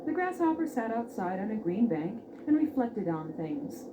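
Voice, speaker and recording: A woman's voice: fairly high pitch, very animated, moderate pace. A woman narrating a scripted fairy tale expressively as a storyteller. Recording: dull, noisy old record.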